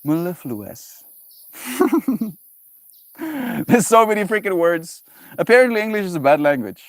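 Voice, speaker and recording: A man's voice: high voice, sing-song, measured pace, no echo. Playful man, dry sound. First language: Afrikaans. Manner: playful, musing aloud, savoring and repeating words. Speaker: livestreamer. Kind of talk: casual solo livestream chat.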